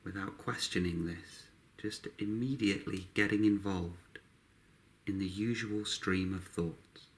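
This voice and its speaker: A man guiding a meditation, speaking calmly in short, unhurried phrases with long pauses between them. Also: low voice. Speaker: meditation guide. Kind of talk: guided meditation narration.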